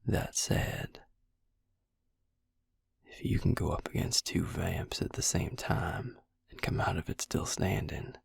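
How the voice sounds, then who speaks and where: A gruff but caring vampire healer played by a man murmurs close and dry in an intimate audio roleplay. His voice is very low and thick with gravel, often falling to a whisper. He is sardonic and teasing, with tenderness underneath. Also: dry recording, exaggerated voice. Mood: wry admiration. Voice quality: breathy, husky, gravelly near-whisper.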